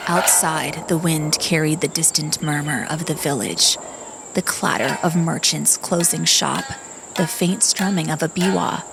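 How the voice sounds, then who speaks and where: A woman narrating a dramatic audiobook story in a hushed, solemn storytelling manner. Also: deep voice, fairly even intonation, unhurried, gravelly, noisy background.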